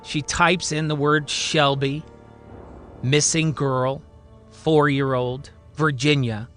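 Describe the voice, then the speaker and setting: A man's voice: on the high side, fairly even intonation, slow pace, fairly bright tone, soft background music. A man telling a scripted story as a podcast storyteller, with a theatrical, emphatic and suspenseful delivery. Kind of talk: dramatic scripted story narration.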